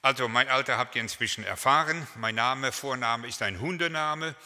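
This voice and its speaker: Older male pastor, 70s, very bright and forceful voice, chatty anecdotal preaching, long hall reverb. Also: medium pitch, moderate pace. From Germany. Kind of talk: sermon.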